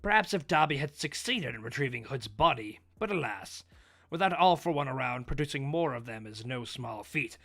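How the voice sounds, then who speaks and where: A man voicing a calm, scheming mad doctor with measured, wordy speech, reading a manga aloud. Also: mid-range voice, vocal fry, pitched below the performer's own voice. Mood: measured regret. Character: calm, scheming villain doctor who makes monsters.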